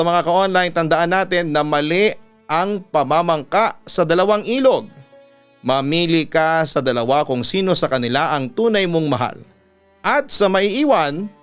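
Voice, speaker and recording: A man's voice: a little high, clear, resonant tone. A man who hosts as a radio announcer, reading a listener's letter aloud in an earnest, dramatic way, then giving advice.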